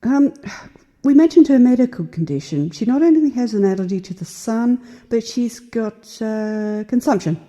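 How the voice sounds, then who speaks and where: Woman acting a mellow, folksy frontier gal. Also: a little high, animated, moderate pace, lightly acted. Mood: covering up. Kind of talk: audio horror-comedy acting.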